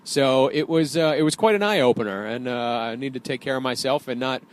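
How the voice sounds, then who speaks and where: A man's voice: medium pitch, brisk. A man co-hosting a podcast from a car, talking in a rambling way with many fillers and getting heated when riled. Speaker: podcast co-host, wrestling trainer. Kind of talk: casual banter while driving. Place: inside a car.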